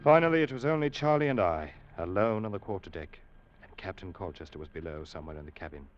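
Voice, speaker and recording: An actor playing a young ship's officer who narrates his tale in a measured, grave way, in 1940s radio drama style. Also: low voice, animated. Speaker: narrator and first mate Ned. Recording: dull old radio recording with music.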